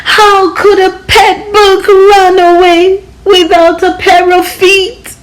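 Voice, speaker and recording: A woman voicing an earnest, easily distraught little boy from a children's storybook, with exclamatory, drawn-out words. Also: extremely high pitch, flat delivery, pitched above the performer's own voice, poor audio. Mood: distraught, wailing.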